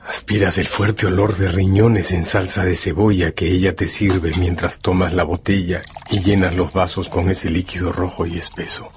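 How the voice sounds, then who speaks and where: A man narrating a scripted radio drama in a measured, solemn storytelling manner. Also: deep voice, flat delivery, background noise.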